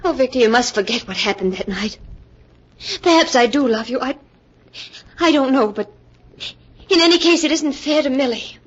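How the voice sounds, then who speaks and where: An actress in a 1940s radio drama playing a troubled young woman torn between hatred and love, earnest and halting in her melodramatic delivery. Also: high voice, lively intonation. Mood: flustered, pleading. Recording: muffled vintage 1940s radio recording.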